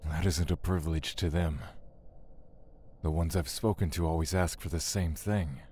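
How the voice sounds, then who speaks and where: An actor playing a brooding, stoic god of death in an audio drama, speaking deliberately. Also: male voice, low-pitched, fairly even intonation, dark tone, slight background noise, close to an everyday voice. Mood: brooding, calm.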